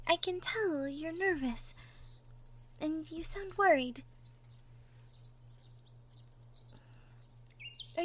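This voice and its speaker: A woman voicing a sweet, shy young cottage girl in love, gentle and stammering, in a girlfriend roleplay audio drama. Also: very high-pitched, a little hiss or hum. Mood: gentle, concerned. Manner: stammering, gentle, breathy asides.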